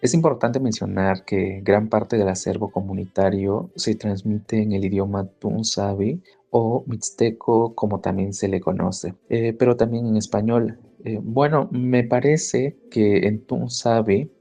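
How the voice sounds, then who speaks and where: A man from Mexico, a literature scholar, explaining in a measured podcast talk with frequent "eh" and "¿no?" tags. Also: on the low side, steady tone, vocal fry, mellow, faint music behind.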